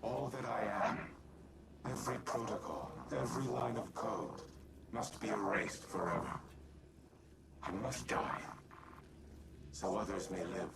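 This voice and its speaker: A solemn, noble machine mind in a game cutscene. He speaks formally in a gravelly male voice, somewhat distant beneath loud ambient effects. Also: on the low side, heavy background noise. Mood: solemn, resigned. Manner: formal, deliberate, stoic. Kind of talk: video game cutscene acting.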